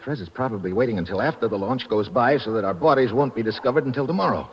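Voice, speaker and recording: An actor playing a tough, wry hard-boiled American adventurer, terse and slangy, in a 1950s radio drama. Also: male voice, medium pitch, racing, lightly acted. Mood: urgent. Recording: muffled old radio recording, reverberant, noisy.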